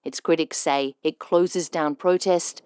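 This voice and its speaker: A woman presenting a radio documentary, narrating a script in a measured, even way over a studio microphone. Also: low-pitched, a little hiss or hum. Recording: music bed underneath.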